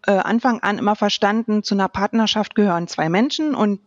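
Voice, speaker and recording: A woman's voice: medium pitch, fairly quick, no room sound. A woman in her late thirties talks casually as a podcast guest. She feels her way hesitantly through her answers, searching for words. The sound is dry and a little muffled. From Berlin, Germany. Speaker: podcast guest, youth welfare coordinator. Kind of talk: casual personal interview answers.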